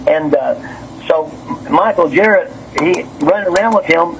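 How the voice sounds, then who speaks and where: Man, slightly high voice, nervous, agitated, rambling, repetitive, noisy phone recording. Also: normal speed, vocal fry. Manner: agitated, repetitive, stumbling over words. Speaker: city councilman and business owner on a phone call. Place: on a telephone.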